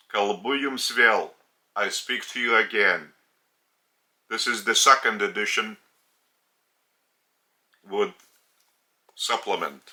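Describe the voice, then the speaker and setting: A man with a Lithuanian accent, a native Lithuanian speaker, giving a religious teaching talk in deliberate, halting short phrases. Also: medium pitch, pushed and bright. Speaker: spiritual teacher.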